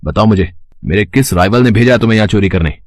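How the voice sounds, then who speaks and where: A man's voice: lowish voice, fast talker, no room sound, clean studio sound, natural voice. A man acting an arrogant, short-tempered fashion designer in a dramatised moral story, imperious and mixing English into his Hindi. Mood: angry, scornful.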